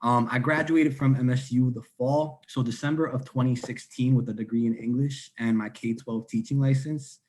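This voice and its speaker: A man's voice: medium pitch, fairly even intonation, normal speed, few pauses, darkish tone. Young man, upbeat teacher voice. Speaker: high school English teacher. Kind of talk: video call panel introduction. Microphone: video call.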